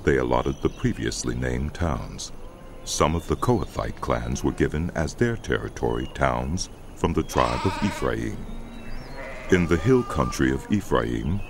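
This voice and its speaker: A man reading scripture as an audiobook narrator, in a solemn, measured delivery over background music. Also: a bass voice, noisy background.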